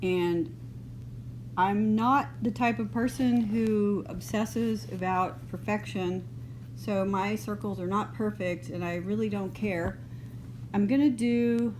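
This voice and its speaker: A middle-aged woman from Texas with a mild, partly northern Texas accent, teaching a drawing lesson in a relaxed, chatty way as she works. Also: mid-range voice. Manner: relaxed, unhurried, loose and chatty. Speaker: art teacher. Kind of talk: drawing lesson, thinking aloud. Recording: noisy home recording.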